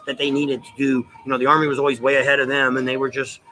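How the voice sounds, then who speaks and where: Rambling man, bright voice. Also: mid-range voice, rapid-fire, slight background noise, low-quality recording. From United States, near Saint Louis. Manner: rambling, repeats himself, animated opinions. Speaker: show host. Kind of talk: casual opinionated chat. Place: live show at a restaurant.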